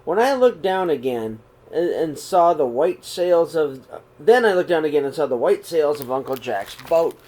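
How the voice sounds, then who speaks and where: A middle-aged man reading a children's picture book aloud in a small room, slow and expressive. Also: a little high. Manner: reads slowly and expressively, voices the characters' calls. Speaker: storybook reader. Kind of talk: read-aloud storytelling for children.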